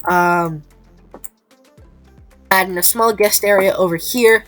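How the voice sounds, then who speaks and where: Stuffed-up, nasal woman narrating slowly. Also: on the low side. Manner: hesitant, many ums and restarts. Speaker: gameplay video creator. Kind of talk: casual gameplay commentary. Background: faint background music.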